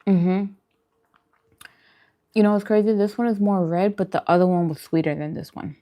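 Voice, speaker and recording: A woman's voice: mid-range voice, normal speed, muffled recording. A woman hosting a mukbang, chatting casually to viewers between bites with murmured reactions. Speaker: mukbang host. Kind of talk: casual eating chat to viewers.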